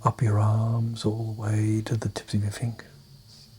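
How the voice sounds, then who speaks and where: Male meditation narrator: hushed, half-whispered, gentle delivery on a steady, flat line, dark relaxed tone, short phrases with long pauses. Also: lowish voice, a little hiss or hum. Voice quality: soft, breathy, half-whispered. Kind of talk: guided meditation, soft-spoken hypnotic suggestion.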